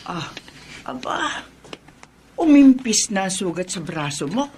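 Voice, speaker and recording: An actress playing an older village woman, a caring neighbor who talks in lively exclamations. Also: mid-range voice, slow, a little hiss or hum. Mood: concerned. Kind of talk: radio drama acting.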